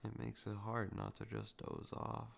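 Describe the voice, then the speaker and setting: A man performing a romantic ASMR roleplay to the listener, soft, intimate and teasing with a possessive edge. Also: flat delivery, measured pace, a little hiss or hum. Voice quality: low gravelly voice with heavy vocal fry.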